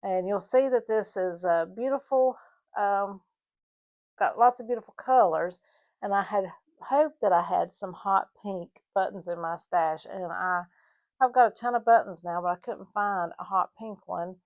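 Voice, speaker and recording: A slightly hoarse woman with a Southern US mountain accent, explaining her sewing project in a casual, halting tutorial with fillers. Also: mid-range voice, darkish tone. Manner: halting, with frequent fillers and restarts. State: a little hoarse. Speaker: sewing vlogger.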